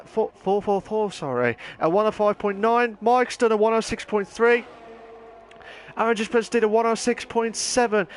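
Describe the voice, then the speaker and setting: Man, high voice, live sim race caller, excitable, slightly hoarse, faint hum behind. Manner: rambling, excitable, bursts into shouting. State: voice going hoarse. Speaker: sim racing league commentator. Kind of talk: live esports race commentary.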